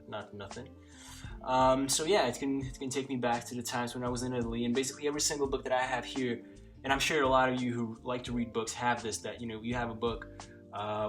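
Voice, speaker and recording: A man whose first language is Slovak, talking casually to camera by his bookshelf, rambling with many fillers and restarts. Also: medium pitch. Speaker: polyglot vlogger. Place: small room by a bookshelf lit by a lamp.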